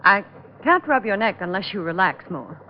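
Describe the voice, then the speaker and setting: An American actress playing a guarded young salon masseuse with polite, clipped control, in old radio drama style. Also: female voice, medium pitch, sing-song, moderate pace, natural voice. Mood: cold, controlled. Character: guarded young female salon masseuse hiding cold resentment. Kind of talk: 1940s-50s American radio drama acting. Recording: muffled, noisy old radio recording.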